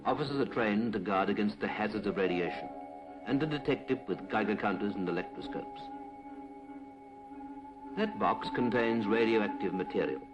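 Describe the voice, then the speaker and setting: A British man reads a scripted 1950s public information film narration in a formal, measured, clipped manner. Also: lowish voice, poor audio. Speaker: documentary narrator. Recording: 1950s film soundtrack with music.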